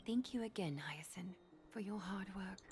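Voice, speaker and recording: A woman playing a dignified, gently commanding female leader in a video game, speaking in a measured, formal, breathy way. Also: mid-range voice, close to an everyday voice. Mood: gracious, composed. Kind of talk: anime-style game voice acting.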